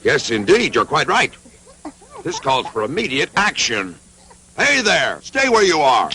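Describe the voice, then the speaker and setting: An actor playing a stern village constable in a cartoon dub, scolding and authoritative. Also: male voice, a little high, huge pitch swings, measured pace. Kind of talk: animated cartoon dub acting. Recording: music behind the voice.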